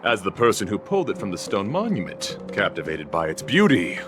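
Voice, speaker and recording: A courtly, gallant knight. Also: male voice, deep voice, huge pitch swings. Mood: calm, courtly. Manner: formal, measured, courtly speech. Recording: game audio with music behind.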